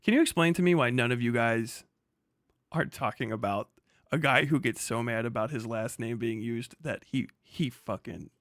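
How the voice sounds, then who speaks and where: A man's voice: mid-range voice, normal speed, fairly bright tone, dry recording, clean studio sound. A man livestreaming casual reaction commentary, swearing freely, mocking and often laughing as he talks. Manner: profane, mocking; laughs often while talking.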